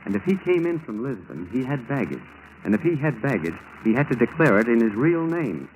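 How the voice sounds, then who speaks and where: An American man playing a determined, methodical FBI agent in 1940s radio drama style, with a brisk, clipped, businesslike manner. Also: mid-range voice, big pitch swings, darkish tone, close to an everyday voice. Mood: intent, determined. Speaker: actor in a radio crime drama. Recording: noisy 1940s radio broadcast recording.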